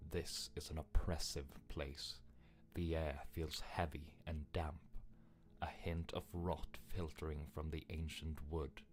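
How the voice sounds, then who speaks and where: A man voicing a grave, commanding, wary narrator in a story game, with measured, ominous delivery. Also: a bass voice, little pitch movement.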